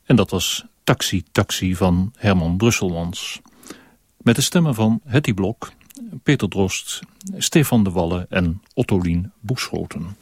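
A man's voice: low voice, animated, unhurried, breathy edge, no echo. A man reading scripted closing credits as a radio announcer.

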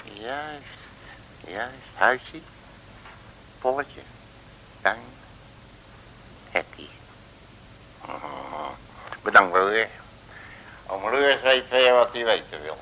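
An actor playing a bluffing, self-important detective with breezy colloquial talk in an old comic radio serial. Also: male voice, fairly low pitch, sing-song, drawn-out words, long pauses, pushed and bright, exaggerated voice, poor audio. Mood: knowing. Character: cocky, bluffing, folksy male detective. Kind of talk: 1960s Dutch comic radio serial acting. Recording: hissy old radio recording.

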